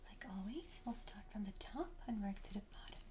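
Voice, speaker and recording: A woman doing an ASMR roleplay as a pet groomer, whispering softly and breathily in a gentle, reassuring, encouraging manner. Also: medium pitch, lively intonation, fairly quick.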